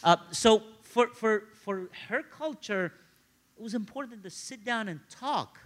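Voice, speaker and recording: Animated male preacher, bright high voice. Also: measured pace. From Egypt, grew up in Cairo. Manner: animated, conversational, repeats phrases for emphasis. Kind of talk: sermon with personal storytelling.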